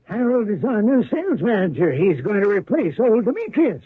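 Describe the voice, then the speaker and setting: An effusive old boss, forgetful and jovial, pitched high with lively swoops. It comes through a narrow old radio recording. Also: male voice, moderate pace, slightly rough, no room sound, exaggerated voice. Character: jovial, absent-minded old male company boss. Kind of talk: 1970s radio drama acting.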